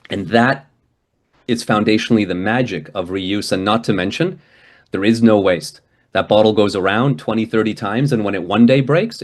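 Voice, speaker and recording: Low-voiced man from Hungary lecturing. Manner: conversational, with frequent restarts and rhetorical "right?". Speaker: guest speaker.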